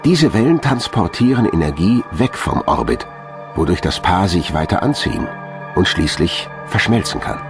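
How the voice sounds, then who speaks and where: A man's voice: low voice, very animated, moderate pace, music in the background. A man reading scripted narration as the narrator of a documentary.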